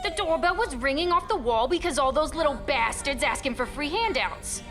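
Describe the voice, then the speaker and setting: A woman's voice: high voice, animated, moderate pace, a bit bright. A woman playing a sardonic, short-tempered studio crew member in a campy audio comedy, full of biting sarcasm. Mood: irritated. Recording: music bed behind voice.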